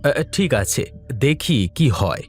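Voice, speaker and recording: A man's voice: fairly high pitch, big pitch swings, unhurried, soft background music, full character voice. A man voicing a humble, folksy village farmer in a cartoon, plain-spoken and easily worked up. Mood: modest, unworried. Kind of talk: Bengali cartoon voice acting.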